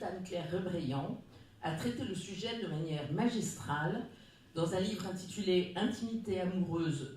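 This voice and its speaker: Round-table speaker, measured formal reading, far from the mic, booming room. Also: darkish tone, slight background noise. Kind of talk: reading a prepared talk. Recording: very echoey room, distant mic.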